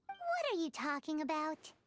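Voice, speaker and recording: Squeaky, very high childlike fairy. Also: female voice, wildly swooping pitch, moderate pace, soft background music. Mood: puzzled. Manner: speaks of self in third person, cheeky. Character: tiny childlike floating fairy companion, perky and blunt. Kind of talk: video game character acting.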